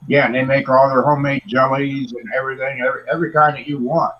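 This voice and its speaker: A man's voice: medium pitch, little pitch movement, fast talker, highs cut off. A man co-hosting a live chat who talks in an easygoing, rambling way. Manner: easygoing, talkative. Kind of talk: casual chat.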